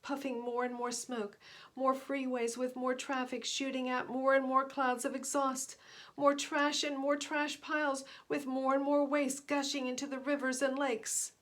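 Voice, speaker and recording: A woman's voice: high-pitched, level tone, a little slow, fairly bright tone. A woman narrating a children's picture book aloud in a dramatic, emphatic way. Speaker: storybook reader.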